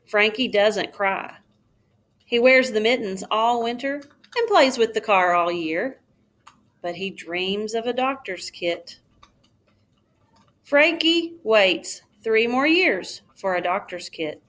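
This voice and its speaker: A woman reading a children's storybook aloud like a schoolteacher, in a careful, expressive storytelling manner. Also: medium pitch, slow pace.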